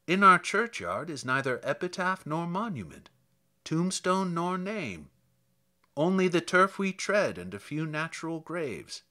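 A man reading the part of a homely old country priest in a poem, grumbling about idle tourists in a measured recitation. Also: a little high, sing-song, sharp tone, exaggerated voice. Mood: wry, exasperated.

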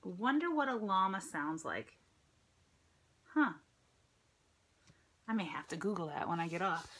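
A woman's voice: on the low side, animated, a little slow, a bit bright, slightly rough. A middle-aged American woman reads a picture book aloud to children, expressively, with chatty asides and questions to her listeners. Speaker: storybook reader, teacher reading to children. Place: indoors at home, room with dresser and ceiling fan. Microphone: phone or tablet at arm's length.

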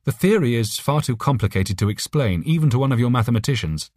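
A man's voice: medium pitch, animated, fast talker, a little mellow, dry recording, clean studio sound, voice pushed up, lightly acted. A man voicing an alien telepathic voice for an audiobook, urgent, friendly and earnest, with careful phrasing. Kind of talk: audiobook character voice.

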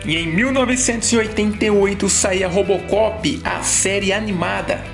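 A woman's voice: medium pitch, moderate pace, creaky. A Brazilian woman hosting a retro video, narrating from a script with casual asides. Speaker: host and narrator of a retro nostalgia video channel. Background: background music.

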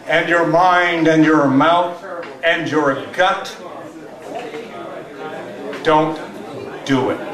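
Slow older man reading poetry live. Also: fairly high pitch. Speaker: poetry reader at an open mic. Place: small tavern stage indoors. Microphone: stand microphone, captured from far camera. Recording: roomy live tavern, distant mic, audience noise.

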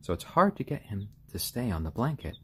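A man with a slightly hoarse voice, chatting casually and gently on a live stream from a room at home, in halting explanations and pet talk. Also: on the low side, sing-song. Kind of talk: casual chat on a live stream, with cooing to pets. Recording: clear background noise, bird sounds.

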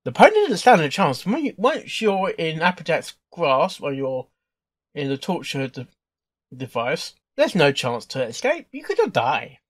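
A man hosting a reaction video with casual, informal commentary, halting and rambling as he thinks aloud. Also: on the high side, huge pitch swings. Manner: halting, repeats and rambles through thoughts. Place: indoors at a computer.

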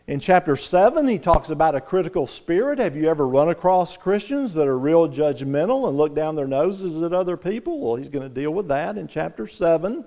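Older man, pastor preaching, fairly high voice, earnest and emphatic. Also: big pitch swings, brisk, few pauses. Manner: earnest preaching, swelling louder and higher on key points. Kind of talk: sermon. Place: church sanctuary.

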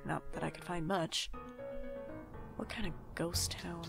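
A woman plays a young audio drama slacker with a creaky voice. The delivery is wry and self-deprecating, easily flustered, with music behind it. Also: on the low side, fairly even intonation. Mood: bored, musing. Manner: self-deprecating, dry asides, flustered when rushed. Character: wry, hapless, anxious twenty-five-year-old nonbinary slacker narrator. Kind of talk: audio drama narration and dialogue.

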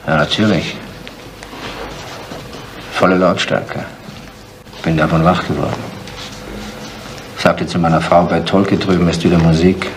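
An actor playing an unconcerned, gossipy village neighbour in a German radio crime drama. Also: male voice, low-pitched, steady tone, a little slow, long pauses. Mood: nonchalant. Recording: faintly noisy 1970s radio play.

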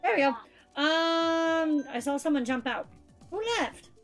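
Woman, 30s, high-pitched streamer host, chatty and loose, heavy on "like". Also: a little slow, soft background music. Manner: chatty, fills speech with "like". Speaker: streamer hosting a game stream. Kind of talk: casual chat while gaming.

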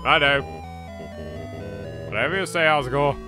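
A man with an English accent hosting a voiced game playthrough, chatty and quick to yelp. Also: fairly high pitch, over music. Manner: chatty, bursts into loud laughter and yelps. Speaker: let's play host.

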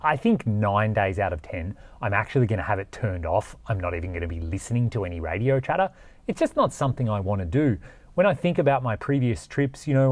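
A man's voice: fairly low pitch, a little mellow. A man in his forties from Australia explaining things in a casual vlog, frank and plain-spoken. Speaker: vlogger, overland traveller. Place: indoors in a workshop garage, and seated in a vehicle.

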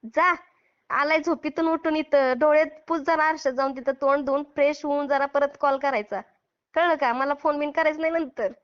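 A woman's voice: sits high, steady tone, moderate pace. A Maharashtrian woman arguing heatedly on a phone call, scolding and taunting in a confrontational way. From Maharashtra, India. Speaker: prank caller who posts call recordings. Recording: dull lo-fi phone call recording.

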